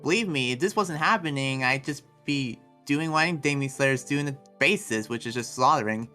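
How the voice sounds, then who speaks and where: Demon slayer roleplayer acting, conflicted and earnest; bright, mid-pitched voice, hesitant rambling delivery, game roleplay, music underneath. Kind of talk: improvised Minecraft anime roleplay.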